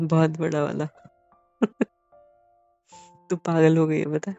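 Young male best friend played by a man, probing and teasing, gravelly, music under a dull phone-drama recording. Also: on the high side, drawn-out words, dark tone, exaggerated voice. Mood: amused, probing. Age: young adult. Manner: teasing, insistent questioning. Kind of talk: naturalistic phone-call relationship drama. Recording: muffled lo-fi audio under music.

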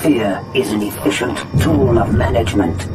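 Actor as machine-like creature, cold, menacing, slow, hive-mind mouthpiece, distant, loud music. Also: male voice, lowish voice, close to an everyday voice. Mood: cold menace.

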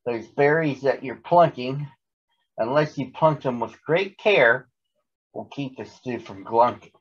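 A man's voice: mid-range voice, lively intonation, measured pace, breathy edge, highs cut off. A man voicing a smug, chatty male storybook monster who gabs breezily and is devoted to his mother. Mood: breezy, instructing. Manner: gabbing and jabbering, breezily dismissive.

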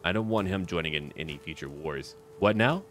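Deep-voiced man commentating a strategy game. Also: a little fast, a bit bright, faint music behind. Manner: talks to himself and to game characters in short clipped remarks. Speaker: gaming video creator narrating his own playthrough. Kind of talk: casual strategy game commentary, partly in character as ruler.

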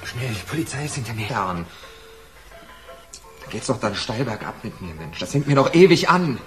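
A man acts an agitated young fugitive in a radio play. His pleading voice swings widely in pitch, with music loud behind it. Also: sing-song, normal speed, heavy reverb, recorded from a distance, natural voice. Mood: pleading, hunted. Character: desperate young man fleeing the police. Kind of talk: German radio crime drama acting.

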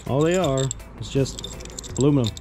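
A young man, casually showing his fishing tackle in a joking, loud, animated vlog style. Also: mid-range voice, normal speed, background noise. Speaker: fishing vlogger. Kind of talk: casual show-and-tell vlog. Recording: music playing under voice. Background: background music.

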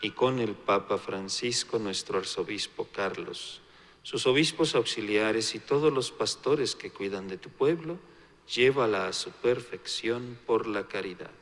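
A man's voice: lowish voice, fairly bright tone. A man, a priest reciting intercessory prayers at Mass. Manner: measured, prayerful reading. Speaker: concelebrating priest. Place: large church. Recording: long church reverb.

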